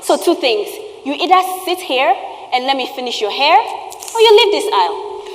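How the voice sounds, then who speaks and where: Woman acting a shrill, fiery market hairdresser, echoey hall. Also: sing-song, normal speed, slight background noise. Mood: scolding. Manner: loud, scolding, emphatic. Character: fiery, sharp-tongued market hairdresser woman, fearful of the powerful. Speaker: stage actor. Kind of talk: theatrical stage play acting. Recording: echoey theatre hall, long reverb.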